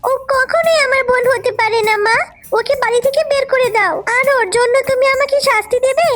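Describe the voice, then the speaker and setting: A jealous, bossy little girl from a cartoon acted out by a performer, squeaky high and petulant. Music plays clearly behind the demanding voice. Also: a little fast. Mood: jealous anger. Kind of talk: children's cartoon voice acting.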